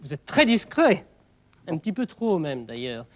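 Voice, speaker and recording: A man's voice: fairly high pitch, very animated, moderate pace. An actor playing a polite, flustered man in a children's television comedy, stammering and hesitant. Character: polite, flustered young man trying to work.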